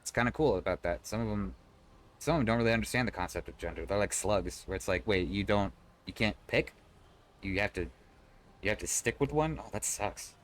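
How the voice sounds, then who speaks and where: A man's voice: on the low side, brisk. A man live-streaming, chatting casually with his viewers and answering their messages in a loose, rambling way. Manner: conversational and rambling, frequent restarts, swears casually.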